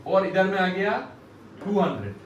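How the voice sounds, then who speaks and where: A man's voice: high voice, big pitch swings, normal speed, highs cut off. A man teaching a repair class, explaining emphatically, repeating points and often checking with questions. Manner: repetitive, emphatic teaching with frequent rhetorical questions. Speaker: phone repair instructor. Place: indoor classroom. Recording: echoey room, distant mic, faint background noise.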